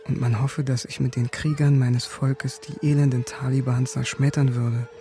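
A man as a haunted, flat-toned army sergeant. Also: mid-range voice, faint music behind, close to an everyday voice. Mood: sombre, restrained. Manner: terse, measured, sober. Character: war-worn male sergeant, haunted, stoic, resolute. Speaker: defendant and narrator. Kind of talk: German radio drama acting, first-person recollection.

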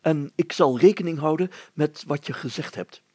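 A man voicing a pompous, excitable mayor in a storybook reading, grandiose and declaiming. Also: a little high, moderate pace, breathy edge, no echo, pitched above the performer's own voice. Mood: effusive, self-important. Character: pompous, excitable middle-aged male mayor, theatrical and effusive.

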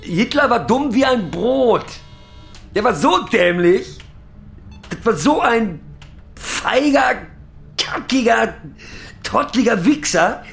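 An actor playing a foul-mouthed, boozy German pub regular who rants crudely and haltingly, sentimental underneath, in a radio drama. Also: male voice, very high voice, lively intonation, creaky, a bit bright, faint music behind, exaggerated voice. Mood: scornful, then furious, crude. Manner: crude ranting, halting and rambling, swearing. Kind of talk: theatrical radio drama monologue.

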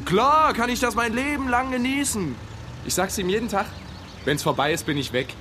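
An actor playing an exasperated young warehouse labourer in a radio drama, griping in colloquial speech. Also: male voice, very high voice, expressive, normal speed, pushed and bright, a little hiss or hum, full character voice. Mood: sullen griping. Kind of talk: German radio drama acting.

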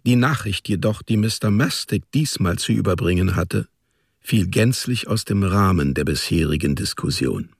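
Male narrator, literary radio drama: precise, measured delivery, creaky and rough-edged, recorded close and dry. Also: sits low, expressive, no echo.

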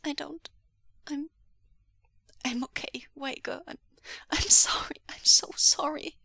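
A woman voicing a frightened, guilt-ridden teenage boy in a story, crying and stammering in breathy whispers. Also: high voice, fairly even intonation, slow pace, sharp tone, no room sound, studio quality, pitched above the performer's own voice, exaggerated voice. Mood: shaken, apologetic.

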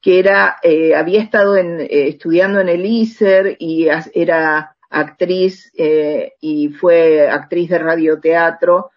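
Slow-talking older Argentine woman reminiscing. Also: lowish voice, steady tone, highs cut off. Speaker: interview guest, radio drama writer. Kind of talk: casual reminiscing in an interview.

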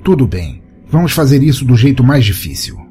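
A man's voice: medium pitch, wildly swooping pitch, a little fast, warm tone, a little hiss or hum. A Brazilian man voicing a younger, cynical, battle-worn male monster hunter in an audio short story. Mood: grim resolve.

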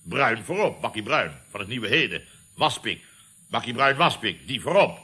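A blustering male boss of a building firm in a vintage radio comedy. He sputters and repeats himself, always indignant. The voice is mid-pitched, piercingly bright and tense. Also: sing-song, normal speed. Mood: indignant, flustered. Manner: blustering, sputtering, repetitive, exasperated. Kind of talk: 1970s Dutch radio comedy acting.

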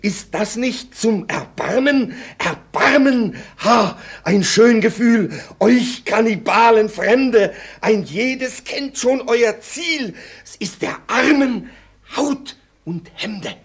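An actor playing an indignant man reciting protest verse in a German radio drama, declamatory and husky. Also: very high voice, animated, takes it slowly, heavily acted. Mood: impassioned accusation.

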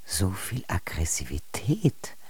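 Deep, languid, cruel queen. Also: huge pitch swings, takes it slowly, very noisy, full character voice. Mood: weary menace. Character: languid, weary, cruel slack queen, full of ennui. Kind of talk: German radio drama acting.

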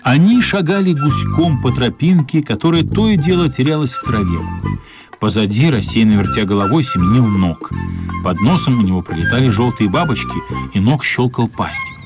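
A man's voice: mid-range voice, lively intonation, normal speed, over music. A man narrating a radio play in a scripted storytelling style.

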